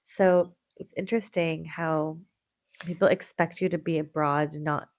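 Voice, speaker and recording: A young woman co-hosting a podcast, her voice a little low with a gravelly, fry-tinged texture. She talks in a chatty, storytelling way, speeding up when she gets excited. The sound is close and dry. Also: unhurried, no echo. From Canada. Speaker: podcast co-host. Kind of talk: casual chat.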